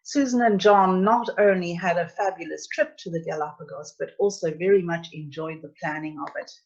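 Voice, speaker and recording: A woman's voice: on the low side, a little fast. A middle-aged woman with a South African accent, hosting a travel webinar and reading viewers' chat messages aloud. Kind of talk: presenting a live travel webinar. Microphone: computer mic on a video call. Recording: dull lo-fi webinar audio.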